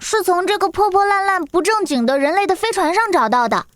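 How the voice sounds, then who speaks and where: A woman voicing a young, innocent and blunt beast-folk girl in an animated fantasy drama, with a childlike, eager manner. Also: very high voice, a little fast, no echo, studio quality. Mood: excited, proud. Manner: childlike, refers to self by name.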